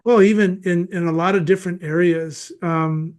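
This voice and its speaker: A man's voice: high voice, fairly even intonation, normal speed. American man hosting a casual, thoughtful interview. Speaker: podcast host.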